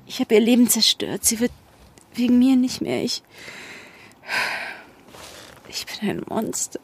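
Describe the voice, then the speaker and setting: A woman playing a distraught, guilt-ridden young undercover policewoman in an audio drama, halting and stammering with a breathy, unsteady voice. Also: a little high, big pitch swings, a little hiss or hum. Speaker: actor in a fiction podcast. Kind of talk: naturalistic German audio drama.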